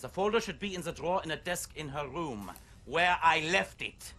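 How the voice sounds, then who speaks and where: An actor playing an earnest, protective German resistance man with a German accent in a video game cutscene, gentle in manner. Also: a little high, animated, normal speed, slight background noise. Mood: protective, explaining.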